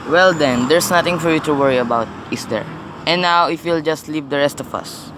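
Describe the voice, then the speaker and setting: A man's voice: on the high side, a little fast, natural voice. A man playing a weary, dismissive police sergeant in a radio play, matter-of-fact and patronising. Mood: impatient. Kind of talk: radio play dramatic acting. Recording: noticeable background noise.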